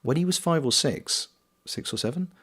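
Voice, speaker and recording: A man's voice: on the high side, animated, normal speed, a bit bright, husky. A British man streaming a game, chatting casually and reading viewers' comments aloud, greeting them by name. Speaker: streamer and voice actor.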